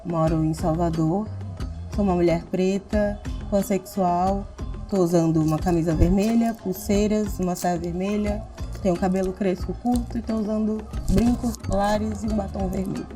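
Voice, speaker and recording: A woman's voice: medium pitch, fairly even intonation, normal speed, dark tone. A woman in her thirties from Rio de Janeiro, introducing and describing herself in a composed way in a documentary interview. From Rio de Janeiro, Brazil. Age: 30s. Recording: music underneath.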